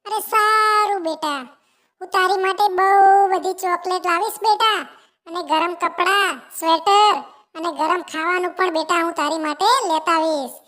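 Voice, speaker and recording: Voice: very high voice, steady tone, normal speed, bright, forceful tone. A performer voicing a doting, worried mother sparrow in a children's cartoon tale, coaxing and repeating fond words. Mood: reassuring. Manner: coaxing, repetitive, keeps addressing the child fondly. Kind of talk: animated children's story dialogue.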